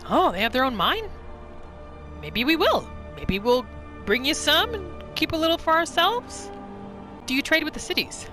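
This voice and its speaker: A woman gives casual roleplay commentary on a video game. Her pitch moves around in a lively way as she chats and muses, with music clearly behind her. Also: moderate pace. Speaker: gaming video host.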